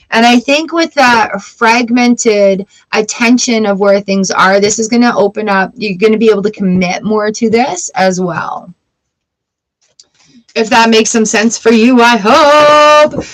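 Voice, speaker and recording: A woman hosting a live tarot reading, reading card meanings aloud and reassuring her viewers in a gentle, encouraging way. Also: medium pitch, poor audio. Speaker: tarot reader.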